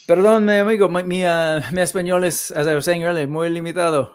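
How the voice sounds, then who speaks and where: A man in his forties hosting a casual chat, talking in a relaxed, rambling way with many fillers. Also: high-pitched, fast talker. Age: 40s. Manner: relaxed, chatty, lots of fillers and restarts. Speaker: host. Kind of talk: casual chat on a live stream.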